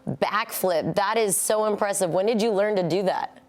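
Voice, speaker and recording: A woman's voice: medium pitch, moderate pace. A woman hosting a morning football show, chatting in a cheerful, casual way. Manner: cheerful, laughs often. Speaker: show host. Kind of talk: casual sports talk.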